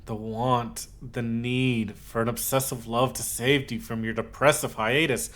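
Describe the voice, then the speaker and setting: A man playing an obsessive, possessive, lovesick yandere in a scripted ASMR roleplay, speaking haltingly with cooed endearments. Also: mid-range voice, steady tone, close to an everyday voice. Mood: wistful, intimate. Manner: halting, cooing endearments, swings from hushed to insistent.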